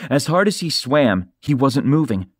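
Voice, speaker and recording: A man's voice: medium pitch, big pitch swings, normal speed, no echo. Man narrating suspenseful fiction, dry studio sound. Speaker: audiobook narrator. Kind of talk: dramatic scripted fiction narration. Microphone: close studio microphone.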